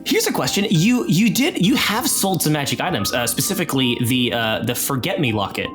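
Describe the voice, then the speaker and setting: Male game master, lively swinging intonation, casual storytelling over clearly audible music. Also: on the high side, a little fast. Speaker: game master and narrator of an actual play podcast. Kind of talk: casual tabletop game narration.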